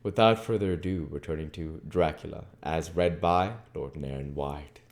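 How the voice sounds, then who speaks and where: A man reading a classic novel aloud as an amateur audiobook reader, in a measured, formal way. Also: extremely low pitch.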